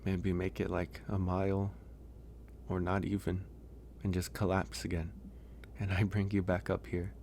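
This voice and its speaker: A man playing a gentle, caring werewolf in an intimate ASMR roleplay, speaking softly and hesitantly with pauses. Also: low-pitched, little pitch movement, vocal fry, slight background noise. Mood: hesitant, curious. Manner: soft, hesitant, pausing. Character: gentle, caring young male werewolf, shy and teasing. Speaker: audio roleplay voice actor. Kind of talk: ASMR roleplay, intimate one-sided dialogue.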